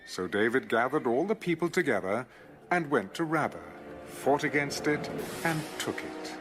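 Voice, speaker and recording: A man's voice: mid-range voice, animated, moderate pace, over music. A man giving a dramatic scripted narration of scripture for an audio Bible.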